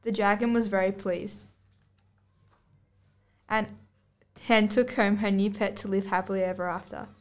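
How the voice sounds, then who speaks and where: A woman's voice: mid-range voice, level tone, moderate pace, long pauses. A girl of about eleven or twelve reading scripted storybook narration aloud as a student podcast host. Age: child, about 11 to 12.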